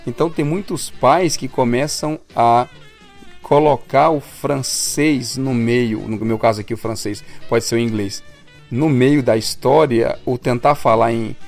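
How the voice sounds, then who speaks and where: A man's voice: mid-range voice, measured pace, darkish tone, faint music behind. Brazilian man chatting on a podcast. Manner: thoughtful, explaining at length with restarts. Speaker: podcast co-host. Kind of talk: casual podcast chat.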